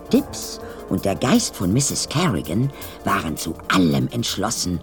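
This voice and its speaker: A man's voice: fairly low pitch, creaky. A man narrating a scripted radio play in a dramatic storytelling style.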